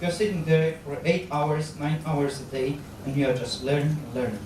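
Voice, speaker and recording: A Polish-accented man in his thirties gives a public lecture on stage, pausing often and restarting his phrases. His voice sits fairly high and rings through a very echoey hall. The sound is picked up from a distance over a clear background hum. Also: steady tone, a little mellow. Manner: halting, with frequent fillers, restarts and gestures. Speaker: guest lecturer on astronaut training. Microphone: handheld microphone. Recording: very echoey hall, captured from far off.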